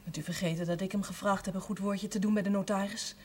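An actress playing a defiant, principled grown daughter in a television drama, reproachful, indignant and outspoken. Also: female voice, mid-range voice, fairly even intonation, quick pace, a little hiss or hum, close to an everyday voice. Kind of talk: naturalistic television drama acting.

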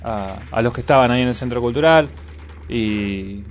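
A man's voice: medium pitch, normal speed, a little hiss or hum. A man with a Buenos Aires accent giving informal, opinionated news commentary as a radio co-host. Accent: Buenos Aires Argentine. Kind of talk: casual commentary on local news.

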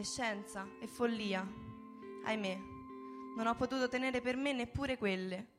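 Higher-voiced woman reciting over guitar. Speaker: performer in a radio reading. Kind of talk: dramatic literary monologue reading. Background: plucked guitar music.

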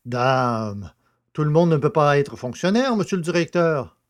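A man acts a good-natured poacher in a radio comedy. His voice sits a little high. He sounds artless and deferential, with a sly, cheeky streak. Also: animated, a little slow. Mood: sly, cheerful. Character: cheeky, good-natured male country poacher, freshly freed prisoner. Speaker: actor in an audio drama. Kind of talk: French radio comedy acting.

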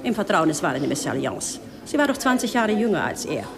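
Low-voiced gushing society aunt. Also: female voice, very animated, brisk, crowd noise. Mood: catty, confiding. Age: middle-aged. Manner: effusive, gossipy, haughty. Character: gushing, snobbish middle-aged society aunt, possessive and gossipy. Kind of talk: 1950s German radio drama acting.

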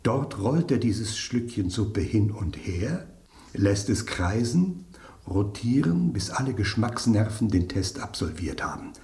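An actor in a German radio play voicing a proud, pompous soup spoon with a refined palate, savoring every detail. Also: male voice, fairly low pitch, very animated, measured pace, creaky, dark tone, full character voice. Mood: self-important, savoring.

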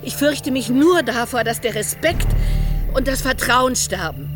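A woman's voice: high-pitched, lively intonation, measured pace. An actress in a radio drama playing a revolutionary's aging wife, anxious yet dignified and earnest, with music behind her. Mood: anxious, grave.

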